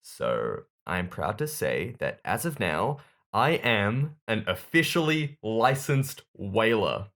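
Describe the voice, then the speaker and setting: A man playing an earnest, defensive whaler in a comedy monologue, halting and deadpan like an awkward announcement. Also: lowish voice, exaggerated voice. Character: earnest, defensive man pitching a dubious whaling business.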